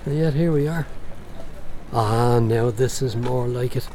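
A man's voice: mid-range voice, measured pace, dark tone, natural voice. An actor playing a chatty, jokey Irish man who is out of his depth abroad, in a naturalistic radio drama. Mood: playful. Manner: jokey, casual. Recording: street ambience with faint vehicle noise. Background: faint street noise.

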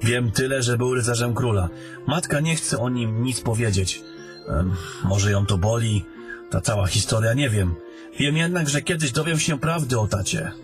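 A man's voice: medium pitch, moderate pace, close to an everyday voice. Male actor as young soldier captain, earnest, questioning, dutiful, for fantasy audio drama over a music bed. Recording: music under the voice.

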